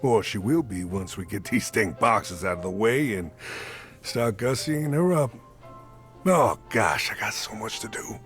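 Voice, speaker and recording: Earnest musician, lively swooping pitch, hesitant folksy delivery, game dialogue, music behind. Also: male voice, measured pace. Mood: hopeful, earnest. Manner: hesitant, folksy, mild exclamations. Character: earnest, chipper male jazz musician and party organizer. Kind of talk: voiced dialogue in a narrative RPG.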